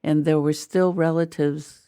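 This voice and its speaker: An older woman with a mixed Midwestern, New York and Southern accent and a trace of Spanish, talking patiently like a teacher in a quiet room. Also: low-pitched, steady tone, a little slow, smooth, dry recording, clean studio sound. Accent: hybrid of Midwest, New York and Southern with a trace of Spanish. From Midwestern United States. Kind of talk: casual conversation.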